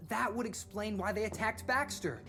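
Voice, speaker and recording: An actress playing a knowledgeable female expert who explains folklore in a measured way in a game cutscene. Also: medium pitch, sharp tone, soft background music. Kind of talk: video game cutscene acting.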